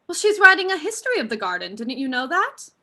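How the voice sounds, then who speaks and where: Young woman acting breezy rich daughter in a play, gossipy, high bright voice. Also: very animated, quick pace, exaggerated voice. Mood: breezy, helpful. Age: young adult. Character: chatty, breezy young daughter of a country house. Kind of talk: staged play reading.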